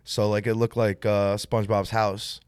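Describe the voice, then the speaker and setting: A man chatting casually about cars, animated and full of filler words. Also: fairly low pitch, normal speed, smooth, no echo, studio quality. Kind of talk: casual car chat.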